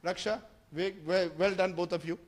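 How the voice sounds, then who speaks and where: A middle-aged man with an Indian accent, hosting a lively interview and switching between English and Hindi with a teasing, energetic manner. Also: sits high, normal speed. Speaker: interviewer, host.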